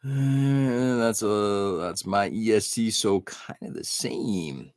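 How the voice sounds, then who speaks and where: A man's voice: fairly low pitch, slow, darkish tone, dry recording, studio quality. A middle-aged man hosting an early-morning live stream, rambling casually and answering viewers' chat comments.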